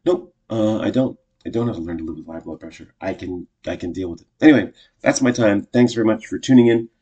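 A man's voice: on the low side, quick pace, mellow, husky, highs cut off. A man in his fifties talking casually to camera, rambling and correcting himself often in an upbeat way. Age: 50s. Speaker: vlogger.

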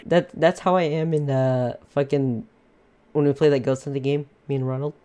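A man's voice: medium pitch, steady tone, moderate pace, mellow, clean tone. A young man co-hosting a casual podcast, teasing his friends, laughing often and cussing freely. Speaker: podcast co-host. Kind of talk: casual chat among friends.

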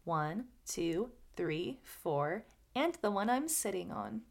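A woman reading the part of a canny, slightly vexed female guinea fowl in a folktale, counting deliberately. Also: mid-range voice, lively intonation, creaky, exaggerated voice. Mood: calm, canny.

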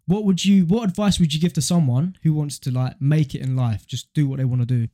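A man's voice: a little high, a little fast, warm tone. A young man co-hosting a casual podcast in a slangy, matey way. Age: young adult. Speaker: podcast co-host.

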